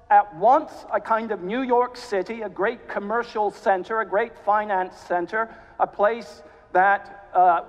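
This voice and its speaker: Genial preacher, mid-Atlantic accent, church reverb. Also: male voice, high voice. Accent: mid-Atlantic, American Southern with British. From South Carolina, USA. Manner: genial, dry humor, measured. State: jet lagged. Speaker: cathedral canon preaching as guest. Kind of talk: sermon. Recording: dull sound, long reverb of a church.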